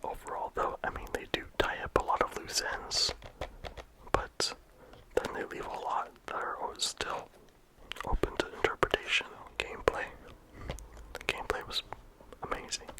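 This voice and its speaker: This is a whispered ASMR game review, in a steady voice with hardly any sound behind it. The reviewer rambles casually and leans on "like" between thoughts. The breathy hush never lifts into full voice. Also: normal speed, pauses often, poor audio. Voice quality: whispered throughout. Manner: casual rambling with many "like" fillers.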